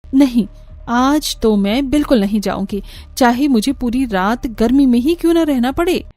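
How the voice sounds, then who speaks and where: A woman's voice: fairly high pitch, normal speed, a little mellow, lightly acted. A woman voicing an earnest, uneasy but firm young daughter-in-law in a cartoon. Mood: angry, defiant. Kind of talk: cartoon voice acting.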